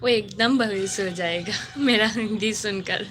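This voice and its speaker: A young woman with a Bengali accent, whose first language is Bengali, hosting a casual live stream and chatting with viewers in halting, broken Hindi as she searches for words. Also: medium pitch, fairly bright tone, a little hiss or hum.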